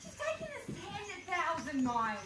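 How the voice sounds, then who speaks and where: A woman playing a steady male convict shipbuilder in a live comic stage play, answering in measured, hesitant lines. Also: very high-pitched, distant mic, exaggerated voice. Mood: wistful. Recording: echoey live hall miked from afar, audience noise.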